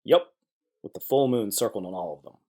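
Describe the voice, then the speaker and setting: An actor playing a gruff, no-nonsense police chief in a noir audio drama, brusque and businesslike. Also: male voice, lowish voice, fairly quick, mellow, natural voice. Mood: impatient, commanding.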